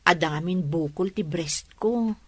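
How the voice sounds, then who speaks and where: A woman with an Ilocano accent playing an ailing but resolute mother in a radio drama, speaking earnestly in a plaintive, measured way. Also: on the low side, husky, dry recording. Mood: resigned, steady.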